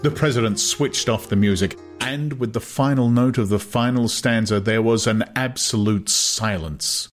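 A man narrating an audiobook in a measured, dramatic style. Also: fairly low pitch, faint music behind.

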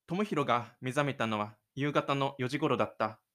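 A man with a gentle, chatty manner, speaking as the host and narrator of his own audio drama. He talks in an unhurried, conversational way, as if to listeners close by, at a medium pitch.

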